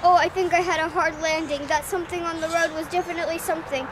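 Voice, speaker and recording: A woman's voice: very high voice, fairly even intonation, quick pace, background noise. A woman voicing a cheerful young man doll who rides a motorcycle, upbeat and whiny when hurt, in a toy play video.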